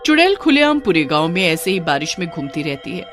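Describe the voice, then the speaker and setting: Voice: medium pitch, sing-song, a little fast, fairly bright tone. A storyteller giving scripted narration of a cartoon horror tale. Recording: music behind the narration.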